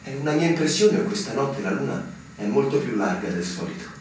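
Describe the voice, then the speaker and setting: A man's voice: medium pitch, sing-song, a little fast, close to an everyday voice. A male actor playing an anxious young army lieutenant in a theatrical radio drama, uneasy and formal in his questioning. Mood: pensive. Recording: very echoey, distant, noisy stage recording.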